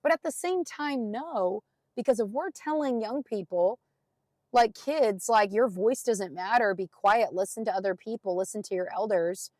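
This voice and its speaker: Raspy-voiced woman giving an encouraging pep talk. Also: moderate pace, darkish tone, no room sound. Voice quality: deep raspy voice. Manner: earnest, encouraging, emphatic, fillers like "like" and "gosh". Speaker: podcast host. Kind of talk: motivational solo podcast talk.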